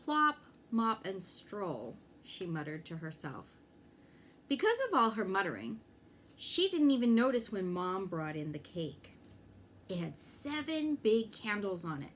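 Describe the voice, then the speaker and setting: A woman reads a children's picture book aloud at a slow, careful pace. Her pitch moves in lively swings, and she turns to young listeners with little questions. Faint noise sits behind her voice. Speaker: fire inspector reading a children's book aloud. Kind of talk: expressive children's storybook read-aloud with asides.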